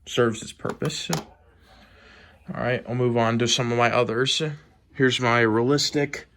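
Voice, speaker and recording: A man with an American accent and a mid-range voice talks through a hobby collection for a video. His delivery is casual and low-key, a bit hesitant, with phrases that trail off. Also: fairly even intonation, a little slow, fairly bright tone. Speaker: hobbyist vlogger showing a collection. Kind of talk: casual show-and-tell.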